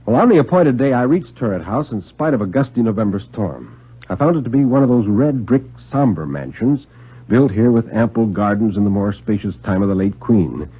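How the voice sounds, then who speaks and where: Expressive, husky vintage radio storyteller, male. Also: fairly low pitch. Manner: literary, expressive, deliberate phrasing. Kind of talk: dramatic 1950s radio reading of a story. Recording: muffled vintage 1950s radio recording.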